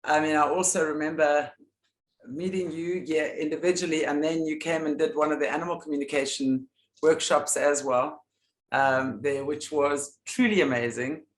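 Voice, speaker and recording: A woman with a deep voice hosting a casual, heartfelt chat, gushing and appreciative in her words. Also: steady tone, moderate pace, echoey room. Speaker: host.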